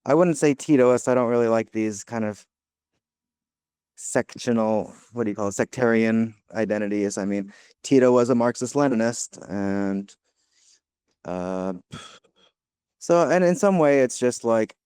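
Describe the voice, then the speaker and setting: A young American man from the United States, answering interview questions casually with many pauses, fillers and restarts. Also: mid-range voice, dark tone, clean tone, no echo, clean studio sound. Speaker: guest, laundromat owner.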